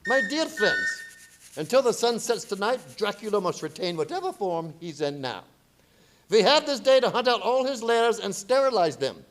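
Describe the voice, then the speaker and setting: An actor playing a commanding vampire-hunting professor in a radio play, grave, formal and urgent. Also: male voice, high voice, big pitch swings, normal speed, a bit bright, a little hiss or hum. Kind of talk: live radio play acting.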